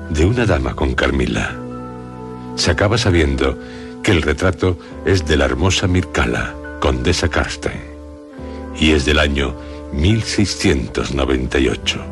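A man with a Castilian accent hosting and narrating a radio drama in a measured, deliberate way. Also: rumbling low, expressive. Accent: Castilian Spanish. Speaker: host and narrator. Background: music.

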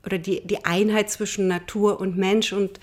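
A woman's voice: medium pitch, little pitch movement, moderate pace, soft background music. A woman speaking thoughtfully in an interview, often listing ideas word by word. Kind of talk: reflective interview.

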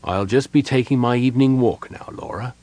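A man voicing a big, gentle old innkeeper, fatherly and frail, speaking softly and haltingly with a breathy, earnest delivery. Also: mid-range voice, pitched above the performer's own voice, natural voice. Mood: puzzled, kindly.

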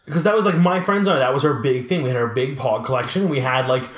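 Male, late twenties, very quick delivery, mid pitch, chatty, informal, roomy echo. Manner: talkative, animated storytelling, casual swearing. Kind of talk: casual chat about collectibles. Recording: roomy, echoing room sound.

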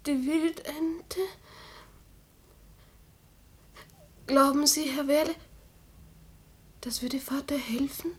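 A woman's voice: sits high, fairly even intonation, slow. An actress playing a distressed, trusting young girl devoted to her father in a 1950s German radio drama, speaking plaintively and earnestly. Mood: timid, wondering.

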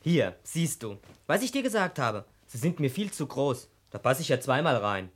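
A reluctant boy in a radio drama, medium in pitch. He hesitates, asks back and stubbornly holds his ground. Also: sing-song, normal speed, breathy edge. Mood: reluctant, wary. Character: Jonathan, a reluctant, questioning boy in the family. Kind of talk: German radio play acting.

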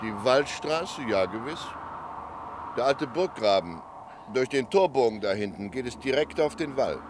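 A man plays a cryptic local townsman in a radio play, aloof and formally polite. His mid-pitched voice is measured and foreboding, with clear outdoor street noise around it. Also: animated, close to an everyday voice. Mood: reserved, wistful. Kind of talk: German radio drama acting. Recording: noisy outdoor street ambience behind voice.

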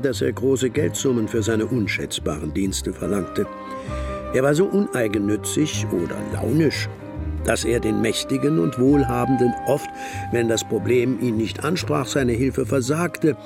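An actor playing a gentleman narrator who recalls a detective case in measured, literary radio drama narration. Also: mid-range voice, vocal fry. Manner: measured, literary, deliberate storytelling. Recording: music behind the voice.

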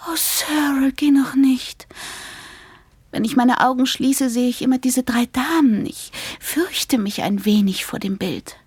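A woman's voice: high-pitched, unhurried, darkish tone, slightly rough, dry recording, full character voice. A woman playing a weary, frightened, affectionate young woman in an intimate radio play, half-whispering. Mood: dreamy, fearful.

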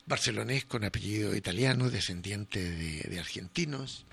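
A man hosting a radio interview, asking questions in a halting way and repeating words as he frames them. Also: fairly low pitch, creaky, fairly bright tone, husky, faint music behind. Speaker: radio host.

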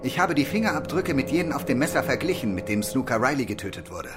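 A man's voice: mid-range voice, sing-song, fast talker, over music, natural voice. A man acting an urgent, factual police fingerprint expert in a German radio crime drama.

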